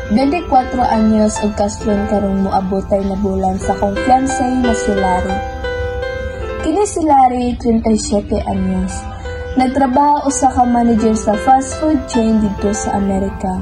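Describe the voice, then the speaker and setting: High-voiced Filipina radio drama narrator. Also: female voice, moderate pace, low-quality recording. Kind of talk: scripted narration. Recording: music under the voice. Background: clear background music.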